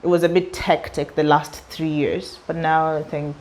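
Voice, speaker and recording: Young kenyan woman w a british-sounding accent, bit low voice, chatty, keeps laughing mid-sentence. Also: normal speed. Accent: British-sounding English. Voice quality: laughs often while talking, chatty and expressive. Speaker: interviewee, student and artist. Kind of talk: casual interview talk.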